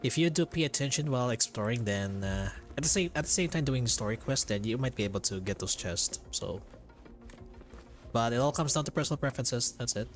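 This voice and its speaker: A man with a Filipino accent and a mid-pitched voice streams a game. He comments casually and talks to viewers, often rambling and repeating himself, with faint game music behind him. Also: lively intonation, a little fast. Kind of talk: casual live gameplay commentary, chatting with viewers.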